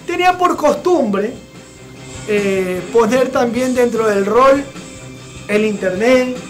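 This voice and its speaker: A young man hosting a TV studio show, giving blunt, opinionated commentary with many filler pauses. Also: high voice, poor audio. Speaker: TV show host. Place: TV studio set with sofa. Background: background music.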